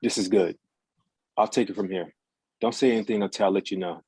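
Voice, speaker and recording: A man's voice: low voice, a little fast, darkish tone, husky. A man playing a businesslike security guard in a table read, with clipped, matter-of-fact delivery. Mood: terse, serious. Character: terse, businesslike male security guard gathering information. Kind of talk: virtual screenplay table read.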